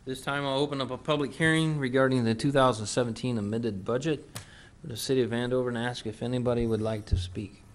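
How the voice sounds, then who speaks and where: Guy running a city council meeting, brisk and businesslike, calls motions and votes. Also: mid-range voice, fairly even intonation. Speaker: mayor chairing a council meeting. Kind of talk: formal meeting procedure.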